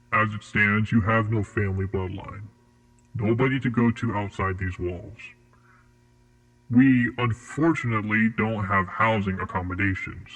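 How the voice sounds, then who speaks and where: Guy playing creepy secret facility interrogator, lowish husky voice, formal n detached, horror audio drama. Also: a bit bright, low-quality recording. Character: cold, clinical male interrogator at a secret facility.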